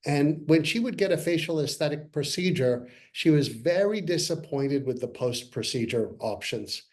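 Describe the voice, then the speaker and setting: A man in his sixties from Canada, a company co-founder earnestly pitching to investors in a webinar over a video call. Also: lively intonation, normal speed, a little mellow. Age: 60s. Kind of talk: webinar presentation. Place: parents' home, by video call.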